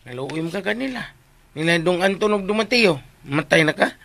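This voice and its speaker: A man's voice: sits high, animated, a little fast, gravelly, fairly bright tone, no echo, exaggerated voice. A Visayan Filipino man playing a cheeky, devoted household servant in a comedic radio drama, stammering and clowning as he wheedles. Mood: wheedling. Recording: radio drama recording with faint background noise.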